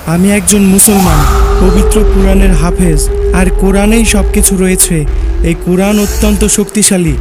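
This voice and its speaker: Man playing high-voiced, devout young hero. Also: natural voice. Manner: firm, declarative, pious. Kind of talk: dramatic cartoon dialogue.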